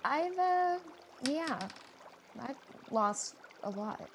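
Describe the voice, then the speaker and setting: Wry frank teen girl, woman acting it, kinda high voice, spills her feelings. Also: animated, drawn-out words, noisy background, exaggerated voice. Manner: wry, confiding monologue. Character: frank, wry teenage girl waiting for therapy. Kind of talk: comedy radio play acting.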